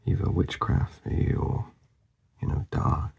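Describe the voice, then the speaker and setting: A man talking casually as he works through a drawing, mumbling and rambling in a soft voice that often drops to a whisper, and he is losing his voice. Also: takes it slowly. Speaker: artist demonstrating a drawing.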